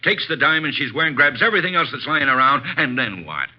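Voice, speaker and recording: A hard-nosed police inspector played by a man gives orders and accusations with blunt sarcasm. The voice is mid-pitched and authoritative, in classic radio acting on a dull, muffled recording. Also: very animated, fast talker, natural voice. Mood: sarcastic. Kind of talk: old-time radio acting.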